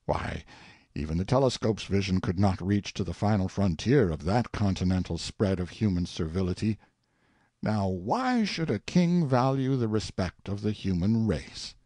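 A man's voice: on the low side, expressive, normal speed, dark tone, no echo, studio quality. A man voicing a haughty, self-pitying tyrant king who muses aloud with pompous sardonic scorn in an audiobook monologue. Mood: scornful musing. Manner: pompous, theatrical, sardonic musing.

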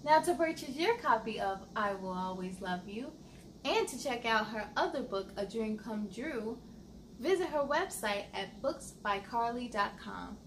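High-voiced woman hosting children's storytime. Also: a little slow, slight background noise. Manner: encouraging, animated, simple child-directed phrasing. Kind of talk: children's read-aloud, addressing young listeners.

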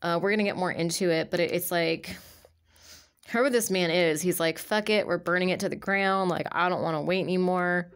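A woman's voice: on the low side, fairly quick, smooth. A woman with a stuffy nose from allergies, reading tarot cards aloud in a loose, rambling way, full of fillers and restarts. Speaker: tarot reader. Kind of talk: casual tarot reading monologue. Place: indoors at a table of tarot cards.

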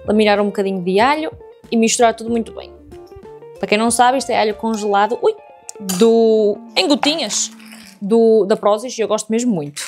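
A woman's voice: a little high, brisk, a little mellow, faint music behind. Chatty Portuguese woman cooking at home. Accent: European Portuguese. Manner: chatty, addresses viewers directly while cooking. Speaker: cooking vlogger. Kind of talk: casual cooking tutorial. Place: home kitchen, indoors.

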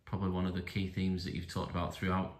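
A man asking a football manager steady post-match questions for the club's media. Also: deep voice, fast talker, echoey room. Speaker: club media interviewer. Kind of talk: interview questions.

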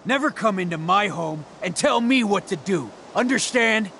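An actor with an American accent playing a volatile, defensive dog owner in a video game, talking in a gruff, confrontational, foul-mouthed way. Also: male voice, lowish voice, animated, a little slow, slight background noise. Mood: furious. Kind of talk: dramatic video game voice acting.

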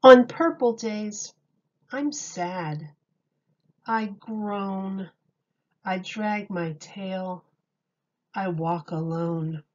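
Slow-paced woman reading to young children. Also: medium pitch, darkish tone, lo-fi sound. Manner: slow, deliberate, dramatic emphasis on key words. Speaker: teacher reading a picture book aloud. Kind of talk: expressive children's read-aloud.

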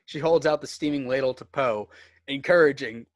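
A man's voice: medium pitch, moderate pace, dry recording. A man reading screenplay stage directions as the narrator of a script table read.

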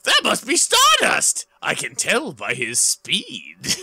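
A raging comic-book gangster boss. The voice is gravelly and strained, swinging wildly in pitch. He blusters and schemes, drawing his words out slowly. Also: wildly swooping pitch, dry recording, full character voice. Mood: scheming. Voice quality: gravelly smoker's rasp. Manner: loud, overblown bluster.

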